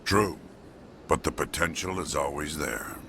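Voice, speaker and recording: A man playing a stern, proud alien police chief in a video game, curt and disapproving, with a gravelly voice. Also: very deep voice, lively intonation, unhurried, pushed and bright, slight background noise, heavily acted. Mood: stern, indignant. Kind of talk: video game dialogue acting.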